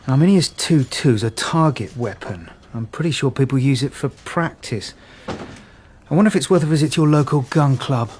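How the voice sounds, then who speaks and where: A man playing a composed senior police detective in a naturalistic radio drama, measured and probing in manner. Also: medium pitch, animated, lightly acted. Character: composed senior male police detective leading a murder inquiry. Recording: music behind the voice.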